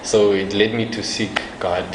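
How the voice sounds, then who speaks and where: A young man from South Africa, an evangelist guest, talking casually in an interview, often laughing softly and restarting his sentences. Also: fairly low pitch, flat delivery, moderate pace. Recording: echoey room with faint noise.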